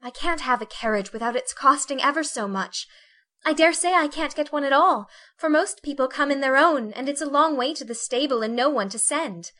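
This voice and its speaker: Woman reading as a genteel young lady, higher and brighter than the narration, soft and plaintive, storybook reading. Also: a little fast. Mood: fretful.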